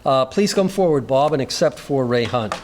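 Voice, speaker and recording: A man at a mid pitch reads a prepared introduction at a ceremony. His delivery is formal and measured, with a few small stumbles as he calls the next speaker forward. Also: expressive, slight background noise. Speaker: master of ceremonies at an induction.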